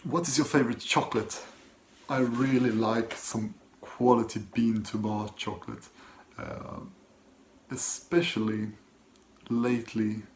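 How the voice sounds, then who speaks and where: A Swedish man with a Swedish accent, speaking English as his second language, who reads viewer questions aloud and answers them softly and hesitantly from his desk at home. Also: lowish voice, a little hiss or hum. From Sweden. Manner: soft-spoken, hesitant, many ums and restarts. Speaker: book channel host. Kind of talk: casual Q&A vlog, reading and answering questions.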